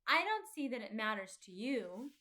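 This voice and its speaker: A vain, quick-tempered storybook boy, acted out by a woman. The voice sits a little high and sounds cross and haughty, bristling with wounded pride. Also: big pitch swings, pushed and bright. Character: vain, touchy, boastful boy pilgrim, easily angered. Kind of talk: children's storybook character reading.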